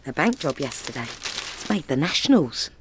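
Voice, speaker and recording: A woman's voice: sits low, big pitch swings, normal speed. Lady playing mouthy london wife in a radio comedy, sarky, voice up and down, slight noise behind. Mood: pointed. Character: sarcastic, nagging London wife of a petty crook. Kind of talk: comedy radio play acting.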